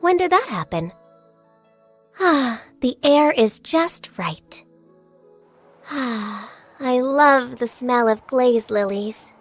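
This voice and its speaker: An actress playing a gentle, diligent, shy and youthful half-beast secretary in a video game, speaking politely and earnestly. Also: female voice, sits high, expressive, takes it slowly, pauses often, faint music behind, heavily acted. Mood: soft, serene. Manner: polite, earnest, hesitant when flustered. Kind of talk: video game character voice lines.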